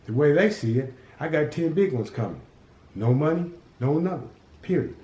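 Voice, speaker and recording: A man's voice: fairly high pitch, expressive, moderate pace, dark tone, natural voice. A man voicing a cocky, defiant city teenager who talks back to gangsters. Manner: mouthy, defiant, smart-alecky. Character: cocky streetwise teen boy from the city. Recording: roomy echo.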